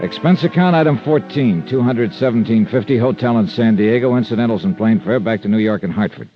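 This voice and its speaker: An American actor playing a wry, hard-boiled insurance investigator in a radio drama, with clipped, tough-talking delivery. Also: male voice, lowish voice, brisk, close to an everyday voice. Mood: dry, wry. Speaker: star of a radio detective series. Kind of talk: 1950s radio drama acting and first-person narration. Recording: muffled vintage radio recording with music.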